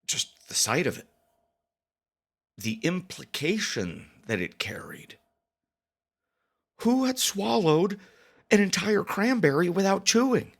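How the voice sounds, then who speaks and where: A man telling a first-person story as a dramatic confession, with deliberate pauses and mock-grave, theatrical emphasis. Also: high voice, big pitch swings, creaky, a bit bright, breathy edge. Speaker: storytelling podcast narrator.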